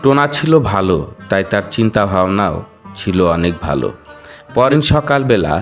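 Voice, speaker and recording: A man's voice: lowish voice, very animated, moderate pace, faint music behind. A man narrating a children's cartoon fable as a scripted storybook tale.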